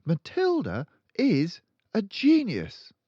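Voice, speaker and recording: An earnest, anxious young schoolmistress from a children's story, played by a man. The voice sits high and moves up and down as she politely insists. The sound is dry and a little dull. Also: wildly swooping pitch, takes it slowly, dark tone, slightly rough, dry recording, heavily acted. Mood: pleading. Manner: pleading, polite but insistent. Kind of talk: children's audiobook character voice.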